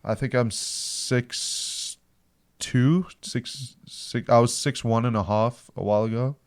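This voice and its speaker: A man's voice: medium pitch, steady tone, takes it slowly, no room sound. A young man from Africa, a podcast guest talking casually with many ums and restarts. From South Africa. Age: young adult.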